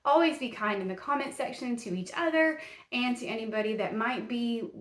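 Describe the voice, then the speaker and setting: A woman with a Middle Tennessee Southern accent chats through a true crime episode, folksy and rambling, laughing at her own stumbles. Her voice sits a touch high. The recording has a noticeable room echo. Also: brisk. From Tennessee, USA. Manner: folksy, rambling, self-deprecating, many fillers. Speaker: true crime podcast host. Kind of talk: casual chatty podcast. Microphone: camera and mic.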